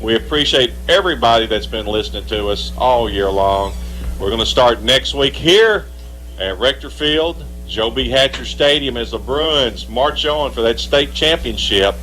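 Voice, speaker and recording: A man's voice: mid-range voice, expressive, moderate pace, sharp tone. A man giving post-game football commentary as a radio broadcaster. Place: live broadcast at a football stadium. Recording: noisy live sports broadcast with hum.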